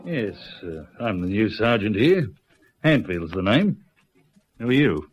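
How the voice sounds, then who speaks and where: A man playing a brisk, unflappable Australian country police sergeant in a radio drama, businesslike and reassuring. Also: lowish voice, sing-song, loud music behind the voice. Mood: brisk, polite. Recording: muffled old radio recording.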